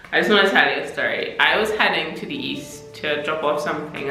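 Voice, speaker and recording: Young woman, Trini-Bajan Caribbean accent, low-pitched, frank self-mocking vlogger, roomy echo. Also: a bit bright. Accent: Caribbean mix of Trinidadian and Barbadian. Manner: chatty, candid, self-deprecating. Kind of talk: casual talking-head vlog. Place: room in Mexico City.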